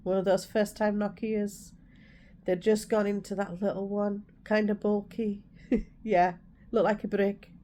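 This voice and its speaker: Mellow-voiced English woman telling a story. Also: mid-range voice, little pitch movement, measured pace, slight background noise. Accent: English with a touch of Scouse. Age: 40s. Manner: rambling and personal, correcting herself as she goes. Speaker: vlogger. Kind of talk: casual storytelling to the camera.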